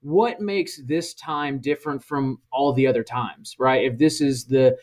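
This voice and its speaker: A man hosts a podcast discussion, asking long questions that wander and restart. His voice has a middle pitch. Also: measured pace, darkish tone. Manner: rambling, questioning. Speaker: podcast host.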